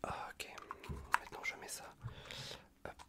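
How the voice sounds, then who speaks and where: Whispering man, close-up ASMR. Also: takes it slowly. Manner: hushed, reassuring, repetitive, casual slangy talk. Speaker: ASMR performer playing an untrained medic. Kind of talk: whispered ASMR roleplay of a medical exam. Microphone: close USB condenser microphone.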